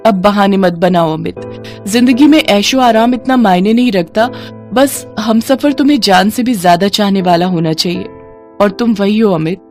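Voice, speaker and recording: Woman doing an eager headstrong young bride, bright and quick, faint music. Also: medium pitch, close to an everyday voice. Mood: pleading. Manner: bright, eager, mixes English words. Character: spirited rich young bride, determined.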